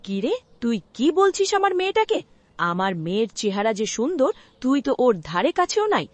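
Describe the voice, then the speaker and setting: Woman doing mean cartoon stepmom, scolding n sarcastic, pitch jumps all over, dry sound. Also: very animated, brisk, no room sound. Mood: boastful, scolding. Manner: sarcastic, nagging, sharp-tongued. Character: cruel, scolding village stepmother, middle-aged woman. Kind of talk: Bengali cartoon dialogue.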